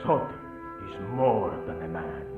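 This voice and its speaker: A solemn, defiant priest played by a man in a radio horror play. He declaims slowly with big rises and falls, heard from a distance on a tinny recording. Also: deep voice, expressive, over music. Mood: fatalistic. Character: grave male priest, fatalistic and defiant. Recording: tinny band-limited audio, distant, echoey.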